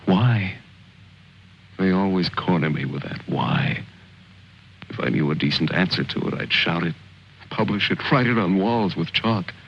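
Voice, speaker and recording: An actor playing a reflective, wry army lieutenant in a mid-century film drama, articulate and measured. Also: male voice, deep voice, creaky, slight background noise. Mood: pensive. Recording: muffled old film soundtrack with music.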